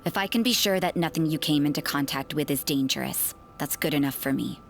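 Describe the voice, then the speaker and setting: A stern, sardonic nun from an anime fantasy game. Her voice sits low, and she speaks with cool, deliberate suspicion and a dry edge, with music underneath. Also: vocal fry. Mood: dry, appraising. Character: stern, wary, world-weary sardonic nun investigator, adult woman.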